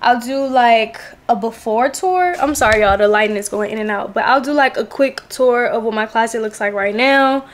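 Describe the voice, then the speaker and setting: High-pitched young American woman, chatty vlogger. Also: normal speed, hardly any pauses. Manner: slangy, familiar, addresses viewers as besties and girl. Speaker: lifestyle vlogger. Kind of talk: casual chatty vlog talk to viewers.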